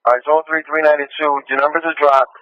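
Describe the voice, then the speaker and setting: Fast-talking male police radio dispatcher. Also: on the high side, little pitch movement, dry recording. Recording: dull lo-fi police scanner radio.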